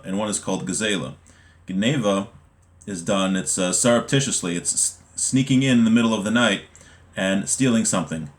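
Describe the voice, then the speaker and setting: A man giving an informal religious lesson, reading from a text and explaining it, halting at times and repeating words for emphasis. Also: lowish voice. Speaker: religious teacher giving a class.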